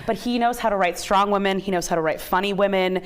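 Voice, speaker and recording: A bubbly American woman with a medium-pitched voice speaks as an interview guest. She is effusive and casual, promoting a project in an easy conversational style. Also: fast, clear, resonant tone. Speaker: interview guest, theater company co-founder.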